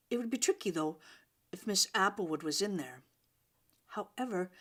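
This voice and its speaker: Expressive woman reading a children's story. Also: mid-range voice. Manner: dramatic, with pauses and emphasis.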